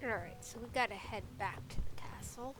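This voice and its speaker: A woman's voice: fairly high pitch, fairly quick, background noise. A woman narrating her video game playthrough and reading quest instructions aloud. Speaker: gaming video creator.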